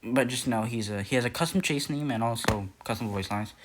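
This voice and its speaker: A man's voice: medium pitch, fairly quick, noisy background. A young man talking casually in a video, rambling with many fillers, restarts and self-corrections. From Nepal. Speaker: youtuber. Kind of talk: casual lore video narration.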